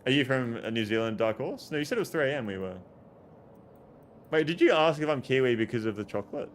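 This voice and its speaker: A man's voice: medium pitch, lively intonation, quick pace, slight background noise. A young Australian man casually chatting and rambling while streaming a game, swearing now and then and answering chat.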